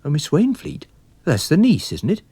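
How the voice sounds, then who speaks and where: A man's voice: fairly high pitch, huge pitch swings, moderate pace, mellow, breathy edge, no room sound, voice pushed up, exaggerated voice. A man voicing a polite, shrewd, inquisitive wine salesman who plays detective in a radio drama. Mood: curious, probing. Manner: courteous, inquisitive, chatty. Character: polite, curious, shrewd travelling wine salesman turned sleuth.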